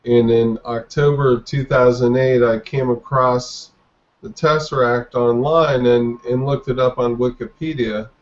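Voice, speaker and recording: A man in his forties speaks as a guest in a casual podcast interview. His delivery is slow and reflective, with halting phrases and little movement in pitch. The audio is dull and lo-fi. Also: steady tone. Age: 40s.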